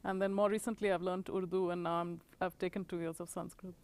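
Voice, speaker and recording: A woman in her forties from India, answering questions in a recorded oral history interview. She speaks in a measured, reflective way, and her voice often fades at the ends of her thoughts. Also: on the low side, level tone, a little mellow, slight background noise. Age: 40s. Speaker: interviewee, author and professor.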